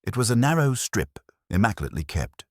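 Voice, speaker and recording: A man narrating a scripted mystery story in a wry, deliberate, literary manner. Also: lowish voice, big pitch swings, no echo, studio quality.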